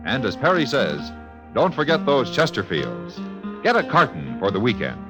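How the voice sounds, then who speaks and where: Animated American radio announcer man. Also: mid-range voice, normal speed. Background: plucked guitar music.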